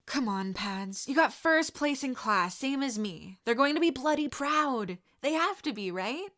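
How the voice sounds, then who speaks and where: A woman's voice: high-pitched, expressive, unhurried, a bit bright, voice pushed up. A woman reading a confident, cheerful teenage boy with eager delivery. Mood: eager, upbeat.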